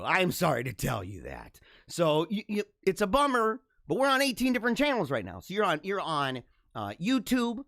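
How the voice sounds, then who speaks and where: A young man hosting a casual live-streamed talk show, with a slightly high voice that swoops up and down a lot. He chuckles often and repeats himself. The sound is dry and close, with no room echo. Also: vocal fry, fairly bright tone. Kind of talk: casual live-stream chat reading viewer comments.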